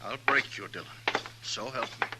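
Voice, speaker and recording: An actor playing a haughty, indignant rich villain who threatens others in a 1950s Western radio drama. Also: male voice, medium pitch, normal speed, heavy background noise, lightly acted. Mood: indignant, threatening. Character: arrogant, indignant rich villain in a frontier town. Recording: old radio broadcast with dramatic music.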